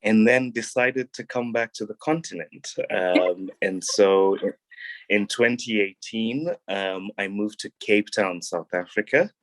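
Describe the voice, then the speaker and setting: Deep-voiced young man from Kenya. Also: a little slow, no room sound, poor audio. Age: young adult. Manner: relaxed and reflective, with frequent ums and restarts. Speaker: guest. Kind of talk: casual interview talk.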